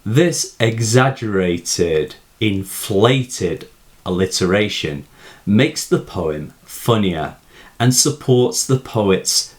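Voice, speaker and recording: A man giving a scripted, explanatory poetry lesson in a measured, formal manner. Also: deep voice. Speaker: poetry teacher. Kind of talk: scripted explanatory narration of a poem analysis.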